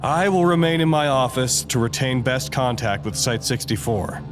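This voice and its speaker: An actor playing a grave, weary facility leader in an audio drama, speaking formally and deliberately. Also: male voice, medium pitch, lively intonation, in a large hall, music in the background, close to an everyday voice. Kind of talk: scripted audio drama acting.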